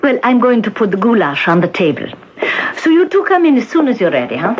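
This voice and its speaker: A woman's voice: a little high, very animated, a little fast, slight background noise. An actress playing a suspicious, plain-spoken housekeeper of sixty in an old-time radio drama, insistent and fond of answering her own questions. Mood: matter-of-fact. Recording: dull lo-fi radio drama broadcast.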